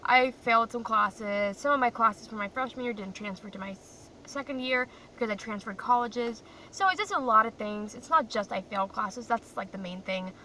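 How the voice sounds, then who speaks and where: A woman's voice: a little high, normal speed, hardly any pauses, pushed and bright. A young woman who grew up in Croatia, chatting casually and rambling to her phone camera in a parked car, often poking fun at herself. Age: young adult. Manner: chatty, rambling, self-deprecating. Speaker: vlogger. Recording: distant mic with faint car noise.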